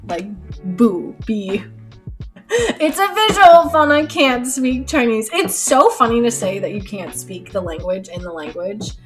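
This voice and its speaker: A woman's voice: a little high, very animated, measured pace. A young woman streaming live while she paints, chatting casually with lots of fillers, her nose stuffed up. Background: soft lo-fi music.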